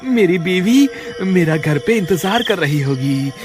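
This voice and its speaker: Man acting a high-pitched, fretful cartoon woodcutter. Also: animated, measured pace, darkish tone. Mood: nervous, pleading. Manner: exclaiming, fretful. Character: frightened village woodcutter, a husband, jumpy but stubborn. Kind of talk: Hindi horror cartoon dialogue. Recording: music behind the voice.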